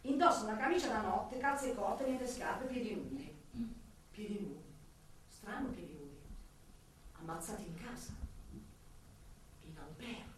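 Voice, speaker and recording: An actress playing a sardonic, brooding police detective in a theatrical radio drama monologue. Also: female voice, medium pitch, expressive, measured pace, pauses often, close to an everyday voice. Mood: grim, focused. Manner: dramatic, shifting from snappy retorts to pensive musing. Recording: distant, very echoey room, faint noise.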